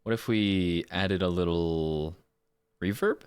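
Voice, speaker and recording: A man's voice: sits low, slow pace, clean tone. A man who does voice acting on livestreams, talking casually and in a self-deprecating way. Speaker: livestreaming voice actor.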